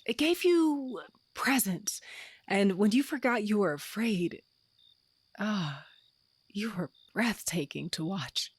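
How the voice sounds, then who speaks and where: A woman's voice: mid-range voice, expressive, takes it slowly, breathy edge, no room sound. A woman playing an earnest, frustrated wife and mother in an audio drama, pleading and heartfelt. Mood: tender, encouraging.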